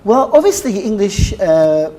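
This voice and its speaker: A woman's voice: mid-range voice, very animated, a little slow, warm tone. A woman from the UK hosting a TV interview, chatty and leading her guests with lots of "I mean". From United Kingdom. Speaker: TV talk show host. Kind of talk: broadcast interview.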